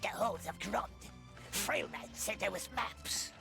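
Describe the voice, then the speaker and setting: Wretched creaky high-pitched little creature. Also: male voice, slow, music in the background, heavily acted. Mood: wary, questioning. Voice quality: raspy, creaky. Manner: whining, broken grammar. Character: Gollum, small wretched pleading cave creature. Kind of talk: video game character acting.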